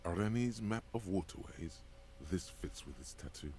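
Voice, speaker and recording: A deep commanding inner voice. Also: male voice, animated, normal speed, a little mellow, a little hiss or hum. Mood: declarative. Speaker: game narrator voice.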